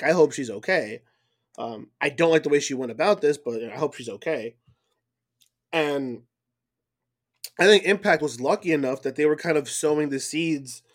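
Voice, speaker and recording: Male podcast co-host, earnest and hesitant, slightly raised pitch. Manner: earnest, hesitant, repeats words. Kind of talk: casual chat.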